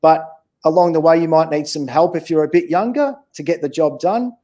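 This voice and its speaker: Mellow Australian man, slightly high-pitched voice. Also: fairly quick. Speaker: children's author, interview guest. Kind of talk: casual interview for children.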